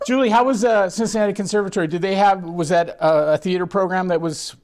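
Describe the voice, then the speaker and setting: A man's voice: high voice, moderate pace. A man hosting an interview, asking questions and adding short backchannels. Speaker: interviewer, host. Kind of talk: conversational interview.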